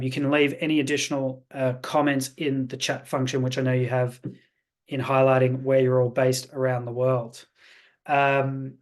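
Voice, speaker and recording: A man hosting an online information webinar in a polished, salesy way with frequent fillers. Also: medium pitch. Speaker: webinar host, programme representative. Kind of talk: presenting a webinar. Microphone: video call.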